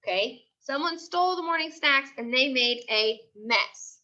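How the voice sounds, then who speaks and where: Bright, high-voiced woman teacher. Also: expressive, muffled recording. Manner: prompting, repeats questions and answers slowly for pupils. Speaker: teacher leading a class read-aloud. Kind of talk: interactive classroom lesson, question and answer.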